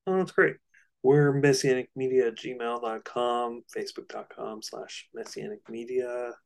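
A man's voice: mid-range voice, fairly even intonation, measured pace, gravelly. A man co-hosting a podcast, explaining things informally in loosely phrased, casual discussion. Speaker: podcast co-host.

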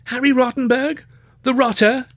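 A man voicing an excitable, nervy young Edwardian student, chummy and gushing, with an upper-class English accent. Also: very high-pitched, moderate pace, no room sound, studio quality, voice pushed up. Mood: chummy, eager. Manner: gushing, stammering, old-fashioned slang.

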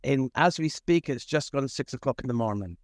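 A man's voice: medium pitch, animated, a little fast, no room sound, studio quality. A man in his fifties giving casual, reflective interview answers in a modest, rambling, conversational way. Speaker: podcast guest, food safety executive.

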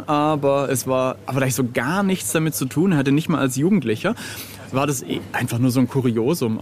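Man in his forties chatting over café noise. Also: medium pitch. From southern Germany (Swabia). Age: late 40s. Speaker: interview guest, freelance illustrator and cover artist. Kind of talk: casual anecdotal chat. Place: outside at a street café in a city. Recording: ambient noise of an outdoor café.

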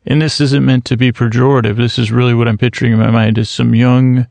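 A man hosting a podcast, musing in a self-mocking, deadpan way and wandering off on long tangents. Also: medium pitch, fast talker, gravelly, dry recording. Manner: goes off on rambling tangents. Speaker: podcast host. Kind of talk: rambling solo podcast intro.

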